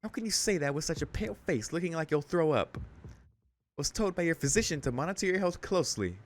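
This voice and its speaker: A man voicing a polite, insistent young woman caretaker from a visual novel, in a soft lifted voice with careful phrasing. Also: fairly high pitch, expressive, brisk, a little hiss or hum, voice pushed up, natural voice. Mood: firm scolding.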